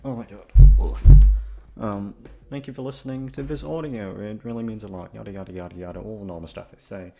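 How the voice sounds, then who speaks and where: A man's voice: fairly low pitch, fairly quick, creaky, over music. A man who makes audio roleplays, chatting casually to his listeners in a rambling, self-deprecating, sweary way.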